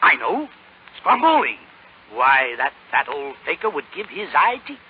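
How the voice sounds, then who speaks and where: A man's voice: fairly high pitch, huge pitch swings, unhurried, a little hiss or hum. An actor playing a sly fox con man, pompous, florid and wheedling, in 1930s radio style. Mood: scheming. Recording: muffled 1930s radio broadcast recording. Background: music.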